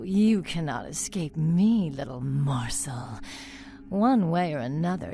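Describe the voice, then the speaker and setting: An actress playing a seductive, deranged vampire cult zealot in a video game, purring theatrically with predatory sweetness. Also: female voice, low-pitched, dark tone, heavily acted. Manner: breathy, drawn-out, theatrical purring. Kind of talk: video game villain dialogue. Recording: music behind the voice.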